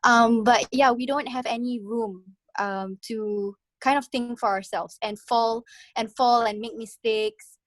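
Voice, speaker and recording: A woman's voice: fairly high pitch, steady tone, a little slow, dry recording. Chatty thirties woman from Singapore, dry sound. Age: 30s. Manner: chatty, laughs often, many fillers. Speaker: guest newsletter writer. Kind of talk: casual video-call chat. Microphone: video call.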